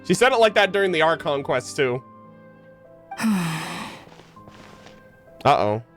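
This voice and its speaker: Man, game streamer, casual reaction commentary, slangy, swooping intonation, soft music behind. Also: a little high, big pitch swings, slow pace.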